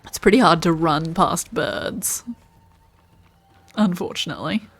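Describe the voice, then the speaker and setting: A woman's voice: lowish voice, unhurried, fairly bright tone, husky. An Australian woman chatting casually while streaming gameplay and answering viewers' comments.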